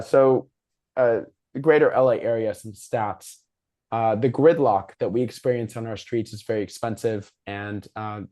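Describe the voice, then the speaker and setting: A man with a worn, hoarse voice speaks at an average pitch. He moderates a public discussion over a video call, giving a prepared presentation in an informal way. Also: darkish tone. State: hoarse, losing his voice. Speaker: moderator and host of a civic discussion.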